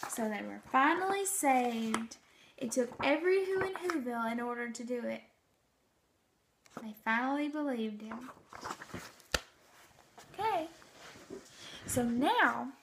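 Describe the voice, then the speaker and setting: A woman teacher reading a storybook aloud and giving children instructions in a deliberate, expressive way. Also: high voice, slight background noise.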